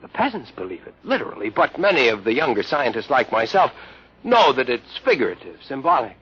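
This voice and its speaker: An actor playing an earnest, easily alarmed young scientist with orthodox beliefs in an old radio drama, his voice husky and excitable. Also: mid-range voice, brisk. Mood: earnest, certain. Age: young adult. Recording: muffled old radio recording.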